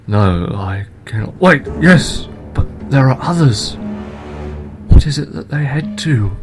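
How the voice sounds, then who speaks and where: An actor playing an earnest, impatient human adventurer with formal, archaic phrasing in a fantasy audio drama. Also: male voice, mid-range voice, animated, slow. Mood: earnest, urgent. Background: music.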